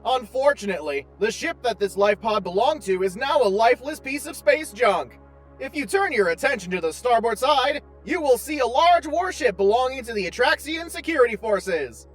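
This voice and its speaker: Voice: medium pitch, sing-song, moderate pace, faint music behind. An actor playing a chipper, oblivious, unfailingly polite tour guide AI in a space audio drama, speaking with formal, cheerful precision. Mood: chipper, informative.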